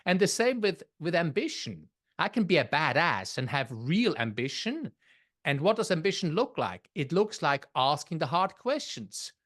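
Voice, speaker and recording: A man's voice: on the high side, sing-song, moderate pace, a bit bright. A man with a Swiss accent, a podcast guest who explains his views in a thoughtful way and often restarts his phrases. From Switzerland. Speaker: podcast guest, leadership author. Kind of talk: conversational interview.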